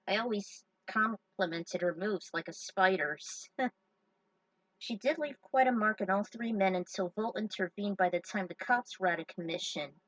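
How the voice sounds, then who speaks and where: A woman's voice: mid-range voice, a bit bright, dry recording, distant mic, low-quality recording. A woman reading a story aloud, breaking off for chatty, joking asides. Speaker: fan fiction reader. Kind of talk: story narration with casual asides.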